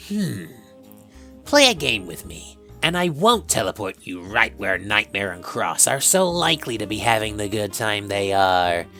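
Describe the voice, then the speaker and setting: Woman acting a smug, very deep male villain. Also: big pitch swings, a bit bright, soft background music, full character voice. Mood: smug taunting. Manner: teasing, slow, drawn-out menace. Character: smug, taunting male skeleton villain, menacingly playful. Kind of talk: fan fiction audio drama acting.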